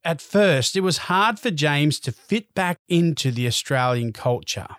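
Guy doing calm scripted doc narration, kinda high voice, super dry mic. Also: a little slow, no echo. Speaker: documentary narrator.

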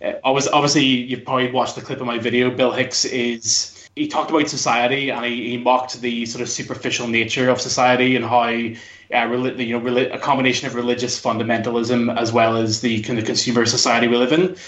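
A man's voice: mid-range voice, level tone, fairly quick, fairly bright tone. A man in his thirties with a Belfast, Northern Irish accent, chatting casually as an interview guest in a discursive way. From Northern Ireland. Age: 30s. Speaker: podcast guest. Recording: dull lo-fi sound, echoey room.

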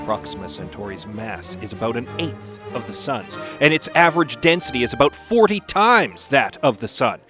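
A man performing as a solemn dramatic reader, deliberate and full of weighty pauses. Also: medium pitch, sing-song, lightly acted. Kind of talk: mock dramatic reading of an encyclopedia text. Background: music behind the voice.

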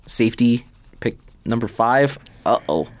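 A man talking casual sports banter as a podcast guest, excitable, slangy and prone to swearing. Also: mid-range voice, unhurried. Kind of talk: casual sports banter, picking game winners.

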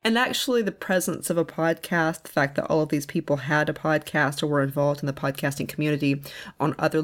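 Woman explaining things in a measured way. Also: sits low. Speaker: audio fiction producer and contributor. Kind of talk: informal spoken advice.

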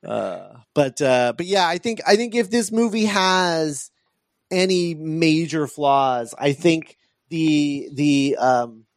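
A man hosting a podcast, talking casually about a film in an earnest, emphatic, halting way. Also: on the high side, expressive, no room sound, clean studio sound. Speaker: podcast host.

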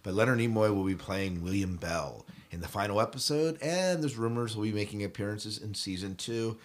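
Male podcast host, low register, casual and joking conversational news delivery. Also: fairly quick.

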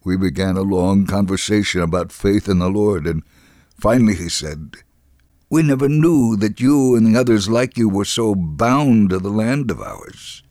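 A man reading a story in a dramatic, expressive way, acting out the quoted dialogue. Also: on the low side, normal speed, no room sound, studio quality. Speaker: reader of a dramatized true story.

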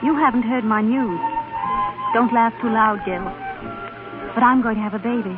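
An actress in a 1930s radio drama playing a hard-working young wife who is proud, impulsive and easily hurt. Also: female voice, a little high, normal speed, over loud music, close to an everyday voice. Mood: confiding. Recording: tinny, band-limited 1930s radio recording.

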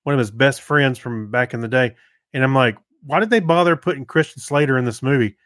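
Man in halting, casual talk, dry sound. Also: mid-range voice, a bit bright, no room sound. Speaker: podcast co-host. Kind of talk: casual chat, informal film review.

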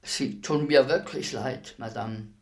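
An actor playing a servile state executioner in a radio play, terse, deferential and matter-of-fact. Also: male voice, medium pitch, slow pace. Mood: quiet regret. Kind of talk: German radio play acting.

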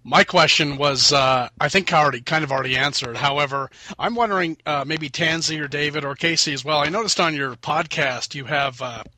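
A man's voice: on the high side, a little fast, few pauses, sharp tone. A man asking a casual question in a discussion, hesitant and searching for his words.

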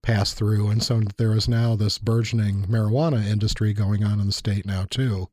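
Man, mellow low voice, thoughtful, dry close sound. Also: fairly even intonation, moderate pace, no room sound. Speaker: university lecturer and podcast co-host. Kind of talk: casual chat.

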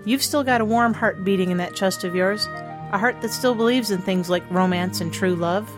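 A woman's voice: medium pitch, normal speed, natural voice. Flirty, sincere part-succubus heroine, woman actor, mid-range female voice, fantasy audiobook, light music underneath. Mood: gentle, sincere. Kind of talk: dramatized audiobook dialogue.

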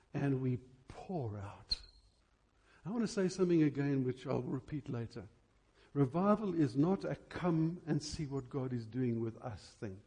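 A man preaching a church sermon in an emphatic, dramatic way, repeating phrases deliberately for effect. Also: medium pitch, darkish tone, slightly rough.

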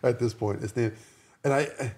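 A man's voice: medium pitch, moderate pace, darkish tone. A man in a casual religious discussion, agreeable and somewhat hesitant, often repeating words as he forms his thoughts. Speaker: podcast co-host or discussion partner.

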